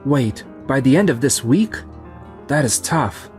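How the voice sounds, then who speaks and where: A man's voice: mid-range voice, lively intonation, a little slow, a little mellow, faint music behind, natural voice. A man voicing a kind, sincere young businessman in a romantic comedy manga dub.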